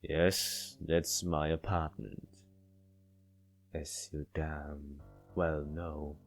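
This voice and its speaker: A man acts out a wary young visual novel hero in a low voice. He is blunt and exasperated, pressing accusations slowly over faint music. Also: flat delivery, vocal fry, heavily acted. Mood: wary, accusing.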